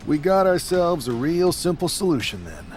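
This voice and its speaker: An actor playing a cocky, stubborn young pro wrestler, confident and wry, in a video game cutscene. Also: male voice, on the high side, sing-song, normal speed, a little mellow, soft background music. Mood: cocky, determined.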